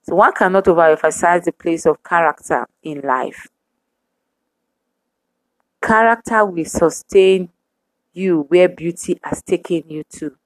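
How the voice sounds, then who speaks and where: A woman preaching a sermon to women, speaking in measured, emphatic repetitions. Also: fairly low pitch, no echo, low-quality recording.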